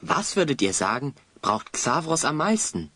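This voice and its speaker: A man's voice: on the high side, big pitch swings, moderate pace, pushed and bright. A man playing a keen, encouraging hero who leads his friends in a German children's audio play, reasoning and urging. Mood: probing, eager.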